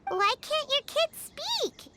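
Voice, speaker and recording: A woman voicing a tiny, childlike, excitable fairy companion in a video game, with a squeaky, exclamatory delivery. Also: slow, heavy background noise, full character voice. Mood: curious, flustered. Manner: refers to self in third person, exclamatory. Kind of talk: English video game voice acting. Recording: music behind the voice.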